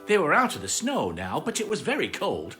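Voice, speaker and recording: A playful storytime reader with a mid-pitched voice that swoops dramatically. The delivery is live and slightly stumbling, with faint music behind it. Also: wildly swooping pitch, a little fast, sharp tone. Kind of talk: animated children's story reading with asides to viewers.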